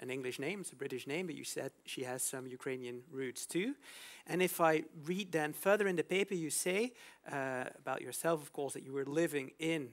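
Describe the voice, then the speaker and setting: A man moderating an academic discussion, asking long questions with many fillers and restarts. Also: medium pitch.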